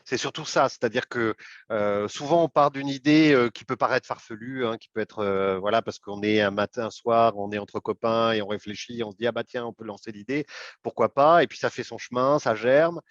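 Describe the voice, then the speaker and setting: A man's voice: medium pitch, no room sound, muffled recording. A man speaking as a guest on a webinar, talking off the cuff with lots of fillers and restarts. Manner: halting, many fillers and restarts. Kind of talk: hesitant spontaneous talk.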